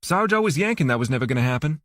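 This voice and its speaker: A man's voice: mid-range voice, lively intonation, rapid-fire, a bit bright, no echo, studio quality, natural voice. A man voicing a sassy, teasing audiobook woman with a folksy, slangy drawl. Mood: teasing, amused.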